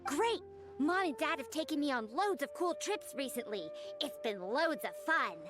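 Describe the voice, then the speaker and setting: An actor, a woman, playing an excitable, chatty young child in a video game, eager and bubbly. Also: sits high, moderate pace. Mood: excited, cheerful. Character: excitable chatty little child, cheerful informant of a lady. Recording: game audio under prominent music.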